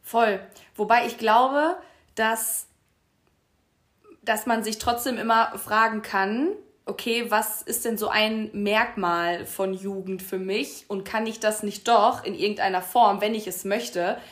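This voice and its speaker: A woman in her late twenties talks casually on a podcast. She is reflective and laughs easily. Her voice is middle-pitched and carries a noticeable room echo. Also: fairly even intonation, slow, a bit bright. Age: 20s. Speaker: podcast co-host. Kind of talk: casual chat. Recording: roomy echo.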